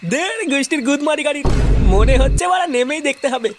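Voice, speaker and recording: A woman's voice: high voice, lively intonation, fast, over music. A woman voicing a foul-mouthed, easily spooked village man in a cartoon, cursing and stammering. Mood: irritated. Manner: cursing, stammering when scared. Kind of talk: cartoon voice acting.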